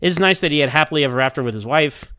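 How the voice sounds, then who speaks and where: A man's voice: on the high side, racing, pushed and bright, smooth, no echo, studio quality. A man talking casually in a rambling, think-aloud way as he gives his review of TV episodes. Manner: conversational, hedging, thinking aloud.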